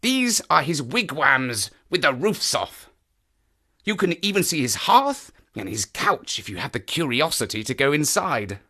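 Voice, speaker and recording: A man playing an eager male naturalist who knows the moors, explaining with animated enthusiasm. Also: on the high side, unhurried, full character voice. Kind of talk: audio drama acting.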